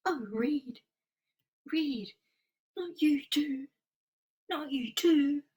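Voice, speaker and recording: A man's voice: very high voice, very slow, a little mellow, voice pushed up, heavily acted. A man voicing an anxious, devoted young heroine with pleading, melodramatic delivery in a comic-book reading. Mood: alarmed, pleading.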